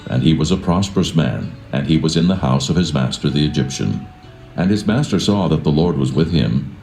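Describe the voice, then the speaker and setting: Very deep, gravelly male scripture narrator. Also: mellow. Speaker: Bible narrator. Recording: roomy echo with music behind.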